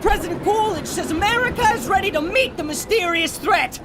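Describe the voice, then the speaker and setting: A woman playing a brash, eager child newspaper seller, hawking loudly in a 1920s-style radio drama. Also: extremely high pitch, fairly quick, a crowd in the background. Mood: urgent hawking. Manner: loud hawking calls. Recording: loud city street noise.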